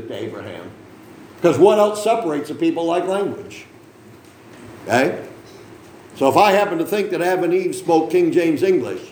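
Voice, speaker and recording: High-voiced male preacher in an echoey church. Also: darkish tone, slight background noise. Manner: conversational, asks the congregation questions, joking asides. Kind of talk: sermon, Bible reading and teaching.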